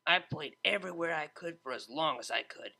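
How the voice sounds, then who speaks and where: Male reader as a young dropout DJ mare, breezy and slangy, slightly high and gravelly. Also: animated, fairly quick, pushed and bright, heavily acted. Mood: passionate. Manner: casual, slangy, a bit self-mocking. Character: laid-back young female unicorn DJ, a blunt dropout. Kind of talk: fan audiobook character voice.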